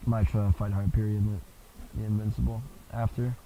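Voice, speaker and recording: A man with a bassy voice giving casual, rambling, slangy commentary while streaming a game. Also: little pitch movement, fairly quick, mellow, slight background noise, low-quality recording.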